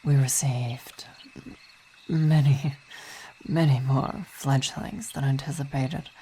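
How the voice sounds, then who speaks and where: A woman playing a weary vampire wife and coven commander, speaking in intimate ASMR roleplay with measured, hesitant, formal phrasing, tender yet imperious. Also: a bass voice, a little hiss or hum, rough recording. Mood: apologetic, tired. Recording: steady night insect ambience behind voice.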